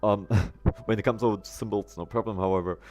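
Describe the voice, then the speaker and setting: Deep-voiced German man streaming a game. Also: steady tone, brisk, warm tone, faint music behind. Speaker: live streamer. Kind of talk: casual live-stream game commentary, chatting with viewers.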